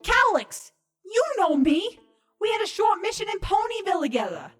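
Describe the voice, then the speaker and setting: A woman voicing a young changeling spy, cocky, excitable and defensive, pleading her case in a comic fantasy audio drama. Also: very high-pitched, moderate pace, faint music behind. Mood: hopeful, flippant. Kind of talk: dramatic comedy fan fiction reading.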